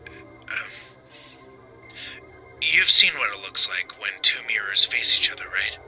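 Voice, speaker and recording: Slow, shaken man, sharp bright voice. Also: fairly low pitch, full character voice. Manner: halting, hesitant, conversational. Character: shaken, bald hotel guest insisting his nightmare was real. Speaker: tipline caller. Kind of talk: dramatic monologue in audio fiction.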